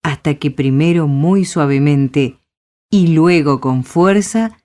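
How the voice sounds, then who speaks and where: Low-voiced Argentine woman storyteller. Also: slow pace, mellow. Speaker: narrator. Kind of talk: storybook radio play narration.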